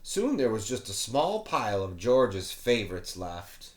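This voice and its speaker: A man's voice: on the low side, big pitch swings, unhurried. A man reading a children's picture book aloud expressively, with playful asides to young listeners. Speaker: children's story reader, teacher.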